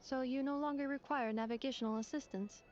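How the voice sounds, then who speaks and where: Lady voicing a young robot girl in a game, very proper and measured, highish voice, music behind. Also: fairly even intonation, darkish tone, close to an everyday voice. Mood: polite. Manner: precise, formal, measured. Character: polite, literal-minded young female robot. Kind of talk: video game character acting. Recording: muffled game audio under music.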